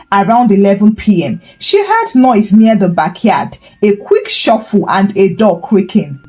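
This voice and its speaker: A woman's voice: medium pitch, darkish tone, low-quality recording. A woman storyteller giving a scripted, dramatic narration of a folktale.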